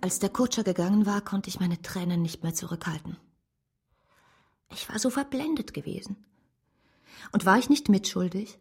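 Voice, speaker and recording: An actress playing a proud, anxious, lovesick gentlewoman in a German radio drama, earnest and emotional. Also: mid-range voice, normal speed, pauses often, breathy edge. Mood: grieving. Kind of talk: German radio drama, dialogue and first-person narration.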